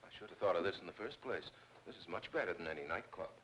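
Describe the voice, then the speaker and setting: An actor playing a practical, attentive and teasing suitor in 1940s film noir style. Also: male voice, low voice, animated, fast, a bit bright, low-quality recording. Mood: teasing, flirty. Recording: old film soundtrack, faint noise.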